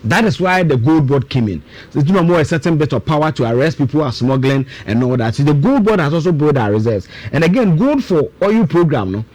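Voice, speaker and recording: A man's voice: on the high side, very animated, brisk, a little mellow, dry recording, rough recording. A man with a Ghanaian accent explaining things emphatically and step by step in a radio discussion, switching between two languages. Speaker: guest political and economic commentator.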